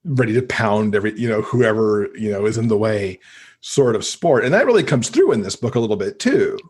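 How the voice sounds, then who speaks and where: A man's voice: lowish voice, expressive, fairly quick, darkish tone, slightly rough. A man speaking as a podcast guest in casual conversation, explaining at length with conversational asides.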